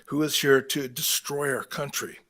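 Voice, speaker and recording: Man with a husky voice and a halting, candid delivery; speaks off the cuff at a council podium. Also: mid-range voice, fairly even intonation, pushed and bright. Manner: halting and candid, with restarts and throat clearing. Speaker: public commenter. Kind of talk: public comment at a council meeting. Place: city council chamber.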